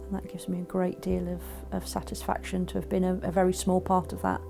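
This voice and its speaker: A woman reflecting on her work in an interview, with hesitant, halting phrasing. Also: lowish voice, monotone, creaky, dark tone, faint music behind.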